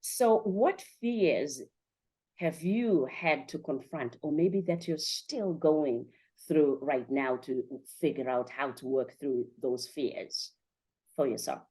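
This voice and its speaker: A woman with a slightly low voice hosts a motivational show. She talks emphatically and encouragingly, often stacking phrases in lists to drive her point home. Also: takes it slowly, warm tone, breathy edge, rough recording. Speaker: podcast host and coach. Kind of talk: motivational interview hosting.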